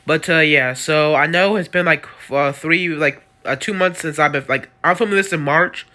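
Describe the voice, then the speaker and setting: A man's voice: on the high side, normal speed, bright, forceful tone. A man with a cold hosting his channel, recapping video-game character lore in a rambling way, full of likes and uhs. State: has a cold. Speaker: channel host.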